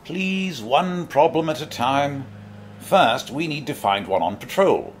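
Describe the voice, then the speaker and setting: An actor playing an eccentric, flippant time-travelling alien adventurer, whimsical, wry and fussily precise, in an audio drama. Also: male voice, on the high side, animated, slow pace, a little hiss or hum. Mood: wry, musing.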